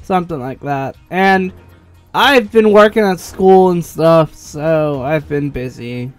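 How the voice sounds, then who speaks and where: A young American man with a notably high voice tells a story as a YouTuber would. His delivery is informal and rambling, with plenty of swearing thrown in. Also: slow, clean tone, no echo, faint music behind. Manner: rambling, profane. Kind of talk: casual storytime over gameplay.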